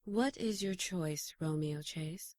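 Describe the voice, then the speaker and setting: A woman playing a solemn, calm guardian angel with a measured delivery in an audio drama. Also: low voice.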